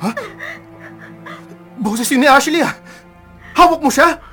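A man's voice: very high-pitched, slow, sharp tone, faint music behind, exaggerated voice. A male actor playing a defiant, troubled young man in a Filipino radio drama, with a Filipino accent. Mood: alarmed, desperate. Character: defiant, hot-tempered troubled young son in debt.

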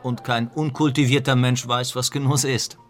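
A man's voice: mid-range voice, level tone, normal speed, faint music behind, natural voice. A man acting a worldly, cynical English aristocrat in a German radio play, suave and superior in his epigrams. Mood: suave, amused.

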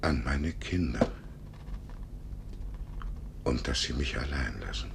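Casting: lonely invalid gentleman in his seventies, querulous, very low gravelly voice, vintage radio drama. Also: lively intonation, slow, full character voice. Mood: self-pitying. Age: older. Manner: querulous, plaintive. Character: lonely, self-pitying paralysed 72-year-old gentleman. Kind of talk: 1950s German radio drama acting. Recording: noisy, rumbling 1950s radio recording.

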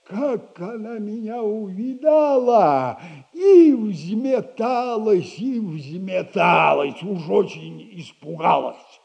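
A man's voice: high voice, vocal fry, a little mellow, full character voice. An actor playing a boastful old retired soldier in a theatrical radio comedy, telling rambling, self-admiring tales in an old man's voice. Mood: sly, gleeful. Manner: drawn-out, rambling, self-admiring storytelling with repeated catchphrase.

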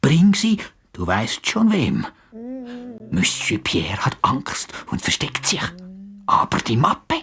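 An actor playing a timid, confused male mental patient in a radio drama, breathy and disjointed, referring to himself in the third person. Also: mid-range voice, huge pitch swings, slow, fairly bright tone, full character voice. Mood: agitated, fearful.

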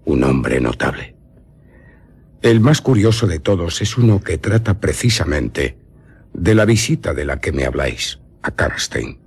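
An old nobleman with a badly rasping, deep voice. His Castilian Spanish rises and falls dramatically as he unfolds vampire lore like a learned, eccentric scholar. It is mysterious radio drama, deliberate and full of pauses. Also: sing-song, darkish tone, heavily acted. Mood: mysterious, solemn. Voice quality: very husky, raspy.

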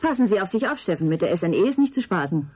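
Knowing female desk insider, radio drama. Rough-edged voice, very animated pitch, wry. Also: very fast. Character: shrewd, helpful woman working at an information desk. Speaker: actor.

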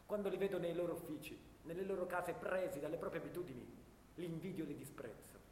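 Actor doing haunted young soldier, earnest radio play monologue, highish voice, echoey w faint noise. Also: male voice, fast talker, darkish tone, natural voice. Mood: bitter, sorrowful. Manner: earnest, literary narration. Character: disillusioned, haunted young bookish soldier, Paolo. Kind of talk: dramatic radio theatre monologue. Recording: echoey reverberant room, low rumble.

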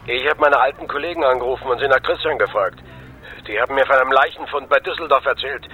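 A man's voice: mid-range voice, fast talker, dull audio, slight background noise, lightly acted. An actor playing a sober, cautious ex-policeman and loyal friend in a radio drama, speaking in a dry, matter-of-fact way. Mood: grave. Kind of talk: naturalistic German radio drama dialogue.

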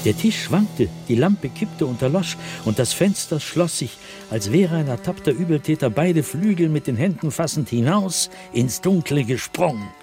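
An actor playing a haunted, fearful diarist sliding into madness in a dramatic, intense radio drama monologue, at times breathy and whispering. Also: male voice, a little high, expressive, normal speed, few pauses, dark tone, soft background music. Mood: furious, alarmed.

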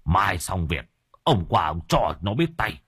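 A man's voice: low-pitched, very animated, a little slow, a bit bright, slightly rough, no room sound, clean studio sound, heavily acted. A man voicing an angry old villager growling curses at a failed sorcerer. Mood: angry cursing. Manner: growling, cursing.

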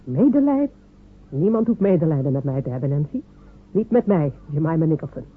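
A woman's voice: deep voice, very animated, a little fast, slightly rough, exaggerated voice. A woman playing proud, waiting wife Jemima. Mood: firm. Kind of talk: radio play acting. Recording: narrow phone-like radio recording with faint hiss.

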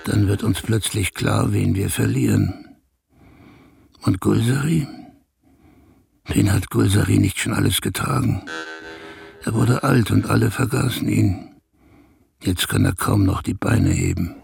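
An actor playing a weary old herder in a radio play, tender and sorrowful, with a gravelly voice. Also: male voice, on the low side, expressive, unhurried, pauses often, dry recording, full character voice. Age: older. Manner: talks gently to his exhausted horse. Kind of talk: German radio play acting.

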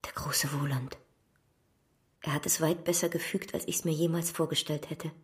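A woman's voice: low voice, level tone, normal speed, close to an everyday voice. An actress playing a passionate, fervent woman in an intense, dramatic radio play style. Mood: hushed awe.

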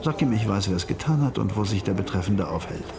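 An actor playing a cocky street informant with a gravelly voice, haggling in a radio crime drama. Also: male voice, fairly low pitch, expressive, fast talker. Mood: bargaining.

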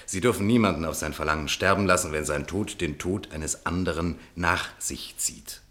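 A man's voice: low voice, moderate pace, bright, forceful tone. A man playing an earnest, insistent defence lawyer in a radio play, speaking formally and arguing articulately. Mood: pressing. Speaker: actor in a radio drama. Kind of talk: German radio play dialogue.

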